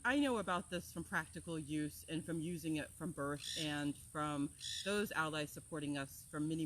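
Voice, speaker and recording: A woman from Florida, an herbal teacher, talking in an energetic, passionate way as she opens an outdoor class. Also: sits low, a little hiss or hum. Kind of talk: casual talk to open an outdoor class. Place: outdoors among plants, with birds and insects.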